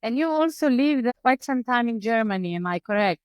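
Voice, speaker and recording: A woman hosting a podcast interview, asking halting questions with frequent pauses and fillers. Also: on the high side, expressive, clean tone, dry recording, muffled recording. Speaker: podcast host.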